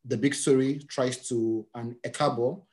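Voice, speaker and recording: A man hosting a casual interview, whose first language is Yoruba, talking in a relaxed, friendly way and often repeating himself with fillers. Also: mid-range voice, measured pace. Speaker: podcast host.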